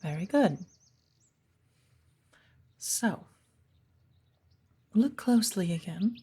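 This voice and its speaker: A woman playing a stern, patient witch who teaches an apprentice in a soft, breathy ASMR roleplay, speaking in a measured, formal, commanding way. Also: medium pitch, animated, exaggerated voice. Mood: patient teaching. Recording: birdsong behind the voice. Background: birdsong, woodland ambience.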